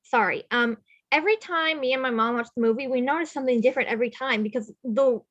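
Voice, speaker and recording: A woman with a high, bright voice speaks casually in a group chat. The recording is a touch muffled. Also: quick pace. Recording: slightly muffled call audio.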